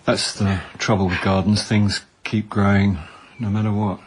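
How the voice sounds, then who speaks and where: A man's voice: fairly low pitch, little pitch movement, normal speed, slight background noise, close to an everyday voice. A man playing a middle-aged actor turned amateur sleuth in naturalistic radio drama, wry, hesitant and a little put-upon. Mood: tactful, awkward. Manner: wry, hesitant, mildly exasperated.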